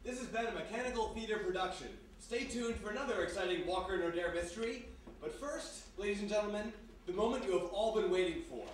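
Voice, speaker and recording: A man's voice: high voice, quick pace. A man hosting a live old-time radio show and quiz, announcing in a showy, formal way. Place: live performance before studio audience in historic house. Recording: very echoey, noisy, distant live room.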